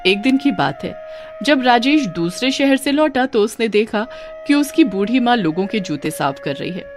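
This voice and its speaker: Female narrator for bedtime moral stories: scripted read, animated pitch, music bed. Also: moderate pace. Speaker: story narrator.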